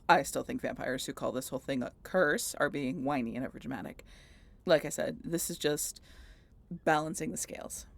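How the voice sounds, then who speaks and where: A woman acts out a sardonic female vampire in a scripted audio roleplay. Her voice is slightly low and husky, with a creaky edge. She talks straight to the listener in a wry, teasing, dramatic manner. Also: full character voice. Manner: sardonic, wry, playful, conversational. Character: snarky, swearing female vampire road-tripper, teasing and dramatic.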